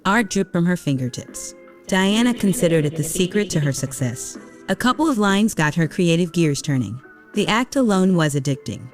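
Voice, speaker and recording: A woman narrator reading a scripted audio drama story. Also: fairly low pitch.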